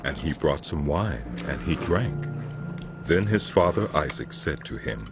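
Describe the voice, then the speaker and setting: A man's voice: a bass voice, big pitch swings, a little slow. A man narrating scripture as a dramatic, scripted audio production. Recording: loud music behind the voice.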